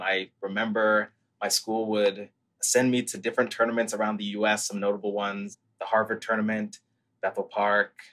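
Husky young man telling his story. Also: on the low side, a bit bright. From Brazil. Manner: earnest, articulate, reflective. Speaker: startup founder, guest. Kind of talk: interview storytelling. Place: living room, at home. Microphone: earphone headset mic on video call.

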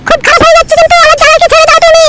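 A man voicing a scolding, defiant village wife in comic cartoon dialogue, sharp and insistent, with a pitched-up voice. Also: monotone, very fast, far from the mic, heavy background noise.

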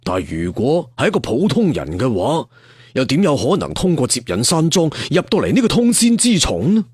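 A man as an arrogant, husky martial-arts villain. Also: mid-range voice, huge pitch swings, moderate pace, a little mellow, no echo, studio quality. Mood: smug musing. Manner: sneering, contemptuous.

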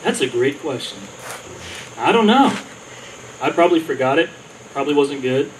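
A man's voice: a little high, sing-song, normal speed. A man answering questions in a modest, hesitant way, trailing off between thoughts. He is picked up from a distance on an outdoor stage, with a faint hum around him. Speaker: songwriter contestant. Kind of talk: onstage interview.

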